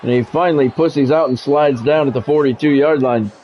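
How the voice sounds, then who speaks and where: A man's voice: medium pitch, brisk, darkish tone, slight background noise. A man doing live play-by-play of a football video game, mixed with blunt, salty, conversational asides. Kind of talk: live sports play-by-play commentary mixed with casual chat.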